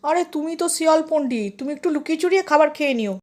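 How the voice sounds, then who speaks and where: A woman's voice: sits high, animated, racing, darkish tone, a little hiss or hum, pitched above the performer's own voice. A woman voicing a breezy, mischievous male bear who coaxes with sly advice in a children's fable. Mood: coaxing, sly.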